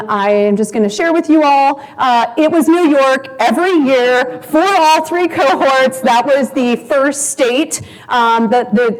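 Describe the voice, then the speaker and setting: Slightly high-voiced woman presenting data slides, steady and patient, filler-heavy. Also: rough recording. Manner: measured, frequent ums, walks through slides. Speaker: conference presenter. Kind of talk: presenting survey results. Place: conference session room.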